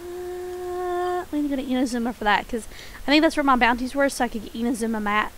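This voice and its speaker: Woman streamer, high and slightly nasal, relaxed chatty delivery, faint hiss on the recording. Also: fast talker. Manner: soft-spoken, chatty, frequent small laughs; voice pitched up from her natural register. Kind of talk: casual game stream chat.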